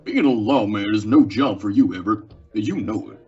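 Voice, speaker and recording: Young man as a lively, folksy saloon man. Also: lowish voice, a little fast, slightly rough. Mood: warning. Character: folksy frontier saloon man, patronizing and wary. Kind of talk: old-time western radio drama acting. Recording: dull lo-fi radio recording.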